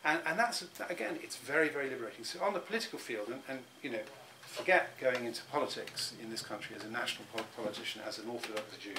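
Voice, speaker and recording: A man's voice: mid-range voice, fast talker, hardly any pauses. Middle-aged man, reflective interviewee, stop-start delivery, faint hum behind. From England. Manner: thoughtful, many restarts and repeated words.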